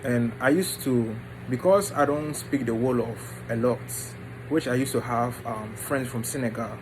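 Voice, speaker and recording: A man tells his personal story informally, in short halting phrases with pauses. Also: medium pitch, background noise. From Ghana and the United States. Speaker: vlogger introducing his book.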